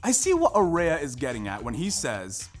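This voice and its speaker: Lively scripted narrator, music behind. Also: medium pitch, normal speed. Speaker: readers theater narrator. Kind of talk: scripted narration, staged reading of a research presentation.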